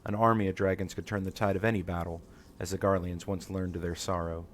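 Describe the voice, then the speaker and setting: Earnest scholar-explorer for a fantasy game, played by a man: somewhat low, formal, theatrical, inquisitive. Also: flat delivery, quick pace, a little hiss or hum, lightly acted. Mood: pensive. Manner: formal, musing, theatrical phrasing. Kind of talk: read-aloud fantasy game dialogue.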